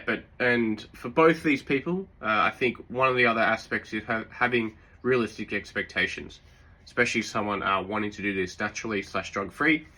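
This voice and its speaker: Low voiced guy w a sore throat, bright forceful tone, chatty fitness tips w lots of ums. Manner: relaxed, rambling, many fillers and restarts. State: sore throat, occasional coughs. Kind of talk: casual advice talk.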